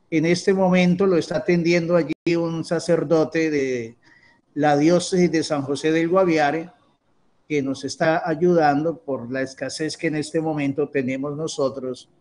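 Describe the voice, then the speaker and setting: A middle-aged man, a priest, explains a map in a halting way with many restarts. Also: on the high side, steady tone, darkish tone. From Colombia.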